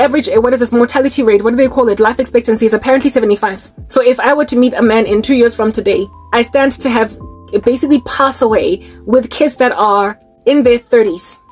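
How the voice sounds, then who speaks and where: A woman's voice: a little high, darkish tone. A South African woman in her late thirties, preaching to her viewers in an exhorting, rhetorical monologue. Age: 30s.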